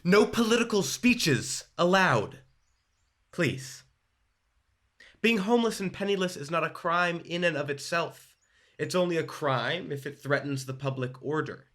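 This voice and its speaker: A bureaucratic bully of a policeman in a radio play. His voice is fairly high for a man. He speaks officiously, with an insinuating edge. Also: animated, unhurried, a bit bright. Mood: officious, stern.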